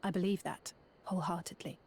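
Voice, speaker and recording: A woman's voice: on the low side, fairly even intonation, a little fast, a little mellow, breathy edge. A woman voicing an earnest idealist in a fantasy game, with a formal, sincere delivery. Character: earnest, idealistic believer in peaceful discussion. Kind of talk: fantasy video game acting.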